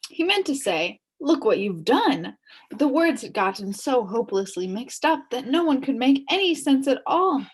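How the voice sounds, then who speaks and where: Animated, high-pitched woman reading a story. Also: normal speed, hardly any pauses, breathy edge, low-quality recording. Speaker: storybook reader. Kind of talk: expressive children's story narration.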